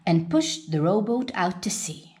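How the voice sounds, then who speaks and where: A woman reading a children's picture book aloud as a storyteller, with expressive, dramatic delivery. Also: on the low side, measured pace.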